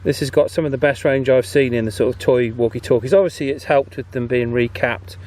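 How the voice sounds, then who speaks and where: A man hosting a casual video outdoors, chatting in a halting way and checking in often as he tests gear. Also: mid-range voice, fairly even intonation, darkish tone. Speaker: host. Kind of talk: casual outdoor video commentary and walkie-talkie testing. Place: outdoors on a path. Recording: outdoor background noise, faint.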